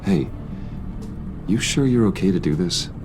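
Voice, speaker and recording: Casting: driven vigilante in everyday guise, game drama; deep, sober, restrained, music bed. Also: male voice, animated, unhurried. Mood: serious, supportive. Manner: serious, restrained, resolute. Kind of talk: naturalistic video game dialogue.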